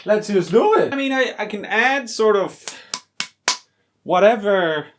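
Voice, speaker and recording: High-pitched, animated man in a noisy recording. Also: unhurried. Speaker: video host and musician experimenting with audio gear. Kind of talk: casual experimental music vlog.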